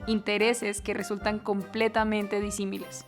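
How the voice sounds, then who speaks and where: A woman's voice: medium pitch, flat delivery, normal speed. Female narrator over music. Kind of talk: scripted documentary narration.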